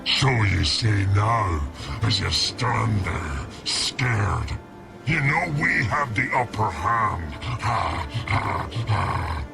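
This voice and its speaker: An actor playing a bitter, vengeful monster in a game, with a gravelly voice and a theatrical, accusing delivery. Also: male voice, fairly low pitch, animated, very slow, heavily acted. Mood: scornful, menacing. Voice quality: gravelly, creaky. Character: bitter, vengeful male monster who distrusts all humans. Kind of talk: video game cutscene acting. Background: music.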